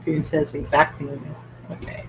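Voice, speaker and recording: A woman's voice: sits low, flat delivery, measured pace, slight background noise. A woman from Canada hosting a live-streamed book club, asking open questions and reading viewer comments aloud with light laughter.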